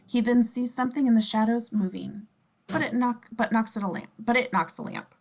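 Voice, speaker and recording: Higher-pitched woman narrating a script. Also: fairly quick, darkish tone, slight background noise. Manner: informal, with wry asides. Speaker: scene description reader. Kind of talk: scripted narration in casual table read.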